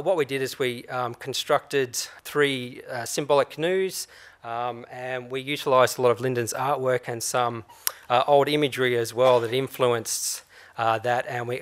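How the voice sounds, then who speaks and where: A man's voice: medium pitch, fairly bright tone. A man explaining an art project at a conference, hesitant with frequent fillers. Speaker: media producer. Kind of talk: conference talk. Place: conference stage, indoors.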